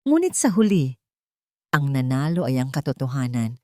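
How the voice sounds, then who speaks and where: A woman's voice: rumbling low, sing-song, moderate pace, darkish tone, no echo, studio quality. A woman storyteller giving a dramatic scripted narration of a romantic tale.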